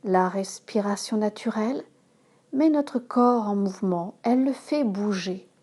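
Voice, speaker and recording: Very slow, soft-toned woman guiding meditation. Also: mid-range voice, breathy edge. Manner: calm, measured, instructing in short phrases. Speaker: yoga nidra instructor. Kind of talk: slow guided relaxation meditation.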